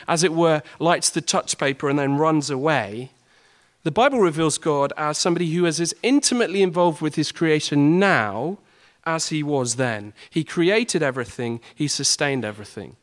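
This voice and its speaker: Earnest young man, fairly high voice. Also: fairly bright tone. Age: young adult. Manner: earnest, builds with rhetorical questions. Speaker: student speaker. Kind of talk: prepared talk to a student audience.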